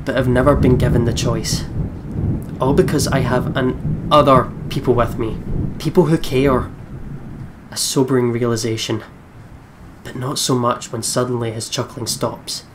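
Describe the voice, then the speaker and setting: A man's voice: mid-range voice, noisy background. A young man hosting a let's play, reading visual novel narration aloud with dramatic delivery. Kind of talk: dramatic reading of game text.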